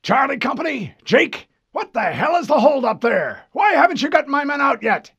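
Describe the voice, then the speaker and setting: A man's voice: very high voice, sing-song, moderate pace, vocal fry, voice pushed up, heavily acted. A man voicing a barking vice admiral. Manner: barking orders, raised and forceful. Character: gruff older male vice admiral, imperious and impatient.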